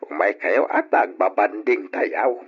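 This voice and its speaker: Kindly old ilocano dad in a radio drama, rough husky voice, muffled old recording. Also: male voice, on the low side, unhurried. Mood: kindly, inviting. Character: kindly, hospitable older Ilocano father.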